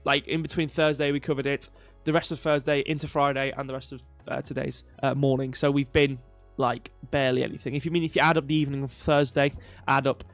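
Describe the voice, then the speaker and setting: A man with a slightly stuffy, nasal voice hosting a casual livestream of market commentary, digressing with self-deprecating humour, close to the microphone. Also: mid-range voice. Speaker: crypto livestream host.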